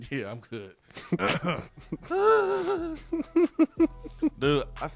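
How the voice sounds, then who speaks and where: A man's voice: a little high, wildly swooping pitch, extremely slow, vocal fry, a bit bright, no room sound. A man hosting a sports radio show, rambling and casual, his voice a little weak and strained. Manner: loose, rambling, many fillers. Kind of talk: casual radio talk and ad reads.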